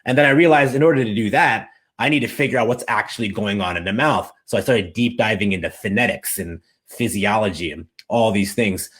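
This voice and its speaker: Low-voiced man, lively storyteller. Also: fairly quick, hardly any pauses. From United States. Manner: animated, enthusiastic storyteller. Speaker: interview guest, language coach. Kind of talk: casual storytelling interview.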